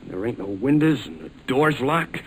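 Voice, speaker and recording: A rough-talking American sidekick, played by a man, blustering through slang with an excitable, hot-headed temper. It is 1940s radio adventure acting on an old recording with faint noise. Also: medium pitch, moderate pace, lightly acted, poor audio.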